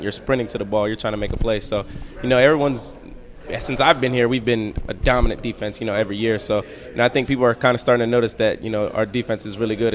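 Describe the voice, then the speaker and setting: A young man who plays college football, answering interview questions in an easygoing way. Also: medium pitch. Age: young adult. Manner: easygoing, laughs between answers. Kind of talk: media day interview.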